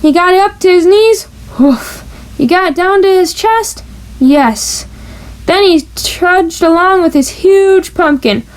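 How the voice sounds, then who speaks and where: A woman reads a picture book aloud to children in a high, lively voice. Her delivery is slow and swings widely in pitch. She plays up every sound-effect word. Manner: playful, stressing sound-effect words. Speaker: storybook reader. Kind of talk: animated children's storybook read-aloud.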